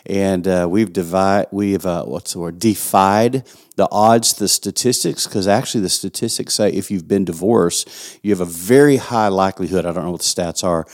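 A man's voice: sits low, normal speed, darkish tone. A middle-aged man with a West Texas accent, a pastor co-hosting a podcast in joking, playful casual chat. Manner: jokey, playful banter.

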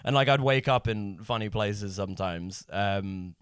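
A man's voice: on the low side, measured pace, smooth, no room sound, clean studio sound. A man in his thirties, a comedian guest on a casual podcast, telling wild stories with lots of laughter and swearing. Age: 30s.

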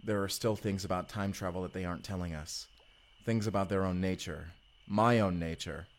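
An actor playing a weary, suspicious young time traveler in an earnest, intense sci-fi audio drama. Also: male voice, low voice, steady tone, normal speed. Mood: grim, determined. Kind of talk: immersive sci-fi audio roleplay acting.

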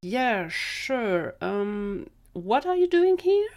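A woman's voice: medium pitch, big pitch swings, slow pace. A woman voicing an eager, jumpy, easily frightened man in an audio story. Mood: hopeful, eager.

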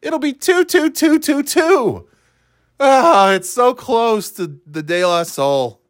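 A middle-aged man with a slightly stuffy nose, hosting a rambling solo chat, meandering and breaking off mid-thought, then getting playfully excited. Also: very high-pitched, slow pace, dry recording, studio quality. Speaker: podcast host.

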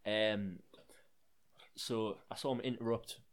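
A man's voice: fairly low pitch, steady tone, takes it slowly. A young man co-hosting a casual podcast, with a dry manner and casual swearing. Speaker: podcast co-host. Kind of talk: casual chat.

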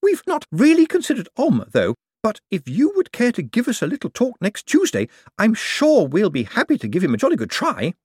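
A man voicing a nervous, genteel middle-aged woman who stammers eagerly in a high, fluttery voice. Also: huge pitch swings, brisk, hardly any pauses, darkish tone, breathy edge, no room sound, studio quality, exaggerated voice. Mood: flustered. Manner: fluttery, hesitant, high and breathless.

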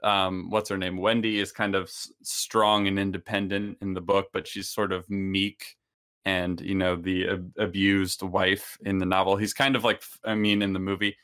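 Deep, bright-voiced man explaining. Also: flat delivery, normal speed. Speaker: discussion panelist. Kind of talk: casual discussion, explaining.

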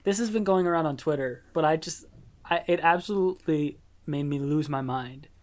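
A young man hosting a podcast over a voice chat, rambling, laughing often and swearing casually, with a high-pitched voice. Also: moderate pace. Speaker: podcast host. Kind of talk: casual chat on a remote call. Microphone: computer mic over a voice chat app.

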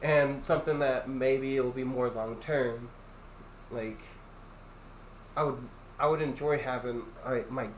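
Loud young man in an echoey room. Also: steady tone, measured pace, long pauses. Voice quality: loud deep voice that carries. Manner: rambling, many restarts and fillers. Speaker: podcast host. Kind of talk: casual chat. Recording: echoey room, faint ambient noise.

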